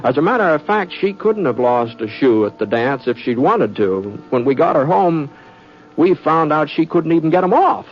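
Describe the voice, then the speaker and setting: A man's voice: a little high, big pitch swings. A man playing a kindly, bashful frontier fellow with an American Western accent, in a homespun, hesitant old-time radio style. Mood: wry storytelling. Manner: homespun, hesitant, drawn-out delivery. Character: folksy, kindly, bashful frontier man telling his tale. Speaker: narrator and lead character. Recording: dull vintage radio recording with music.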